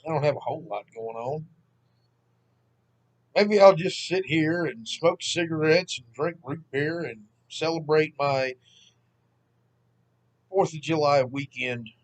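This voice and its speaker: A man in his thirties with a Southern Mississippi accent, chatting casually at home as the host of a history channel, relaxed and rambling. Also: on the high side, a little slow, pauses often, low-quality recording. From Southern United States (Mississippi). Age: 30s. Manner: rambling, relaxed, self-deprecating. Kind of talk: casual vlog chat. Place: at home, sitting.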